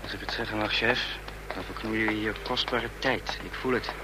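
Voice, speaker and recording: An actor playing a deferential but restless, doubting subordinate secret agent in a radio play. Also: male voice, lowish voice, fairly even intonation, moderate pace, noisy background, natural voice. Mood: impatient.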